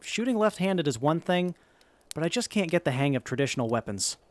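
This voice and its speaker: A man's voice: fairly high pitch, sing-song, brisk. A man playing an earnest, unsure wasteland survivor in an audio drama, speaking politely. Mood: earnest, self-doubting. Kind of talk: post-apocalyptic audio drama acting.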